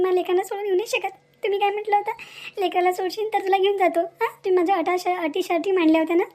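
A runaway young mother in a cartoon moral story , performed by a woman, distressed and accusing. Her voice is extremely high and fast, with a pleading edge. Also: dark tone.

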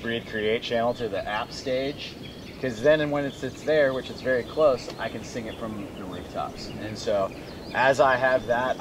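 A middle-aged man hosting a casual conversational interview as a coach, talking with a friend. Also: lowish voice. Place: outdoors on paddleboards among mangroves. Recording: very noisy outdoor recording with birds. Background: birds and outdoor ambience.

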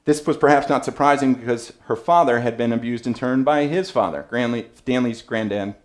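A man in his thirties delivers a prepared keynote from a podium, reading from his notes in an earnest, measured voice of middling pitch. He addresses a seated audience in a banquet room. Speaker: keynote speaker. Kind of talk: prepared speech read from notes.

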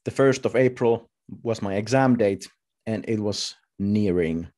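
A man in his thirties giving a personal talk as a speaker, telling his story in short, halting, deliberate phrases. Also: on the low side, warm tone. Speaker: conference speaker. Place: indoors at home, video call.

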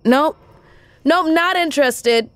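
A woman's voice: high-pitched, slow, fairly bright tone, dry recording. A woman acting as a weary, blunt truck driver in a naturalistic audio drama. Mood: firm. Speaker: actor in lead role. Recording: faint background noise.

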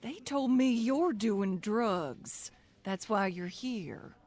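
A woman's voice: mid-range voice, lively intonation, very slow, darkish tone. An actress playing a worried, scolding middle-aged mother, urgent and emotional, in a radio drama. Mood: stern, worried. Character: worried, scolding mother of a wayward teenage son.

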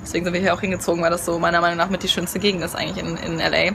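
A woman's voice: on the low side, flat delivery, very fast, creaky. A young woman chatting in a casual travel vlog, bubbly with lots of fillers, filming herself outdoors on a phone held at arm's length. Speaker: vlogger. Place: outdoors by a house and a hotel pool. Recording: outdoor phone audio with background noise.

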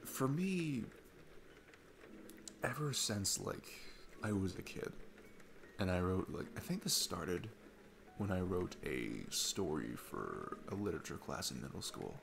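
A man's voice: low-pitched, sing-song, measured pace, vocal fry, noisy background, exaggerated voice. A young man playing an easygoing, earnest divorced dad on a date, chatting in a casual, rambling ASMR roleplay style. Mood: earnest, passionate. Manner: rambling, informal, chuckling often. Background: music.

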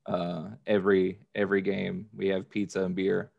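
A man's voice: deep voice, level tone, moderate pace, creaky, lo-fi sound. An American man in easygoing, anecdotal casual conversation on a podcast. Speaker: podcast participant. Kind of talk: casual chat. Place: remote video call from a room indoors.

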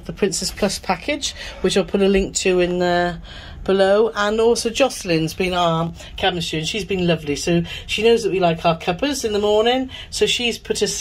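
A woman's voice: fairly low pitch, a little hiss or hum. Chatty woman touring a cabin. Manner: chatty, seeks agreement with tag questions. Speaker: travel vlogger co-host. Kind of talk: casual tour chat. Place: cruise ship cabin, indoors.

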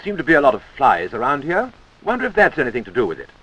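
A man's voice: medium pitch, wildly swooping pitch, fast talker, fairly bright tone, lo-fi sound. A British man narrating a wartime-era army training film in a wry, chummy manner, on a 1940s film soundtrack.

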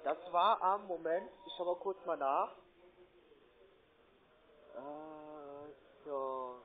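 High-voiced salesman on a tinny phone. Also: very slow. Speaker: call centre sales agent. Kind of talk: cold sales call. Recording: tinny narrowband phone line.

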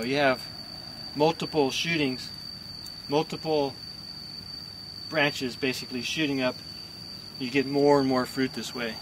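An older man talks through his rural homestead outdoors, with a plain, practical manner and an even, mid-range voice. The camera sits a few meters off, and insects and rural sounds come through clearly behind him. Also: mid-range voice, noisy background. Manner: plain, practical, explains step by step. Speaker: homesteader giving a property tour. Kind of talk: casual walking tour explanation. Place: outdoors on a rural homestead with rice fields around.

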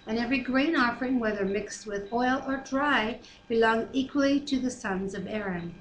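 A woman's voice: fairly high pitch, a bit bright. A middle-aged woman reading scripture aloud with casual commentary at home, picked up by a computer webcam microphone. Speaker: Bible reader and discussion co-host. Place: living room at home. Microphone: computer webcam mic at desk distance. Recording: roomy, echoey home webcam, faint background noise.

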